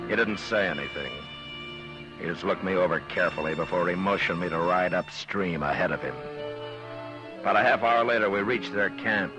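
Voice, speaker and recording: A steady, brave frontier marshal, voiced by a man who narrates in a 1950s radio western. His very deep voice stays calm and laconic, and music plays clearly behind him. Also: lightly acted, poor audio. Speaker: narrator and lead.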